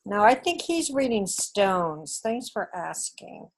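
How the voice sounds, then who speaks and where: A woman's voice: medium pitch, very animated, slightly rough. A woman teacher with a New Zealand accent, working through the scoring of a test step by step in an online class. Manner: slow, methodical, talks through scoring step by step.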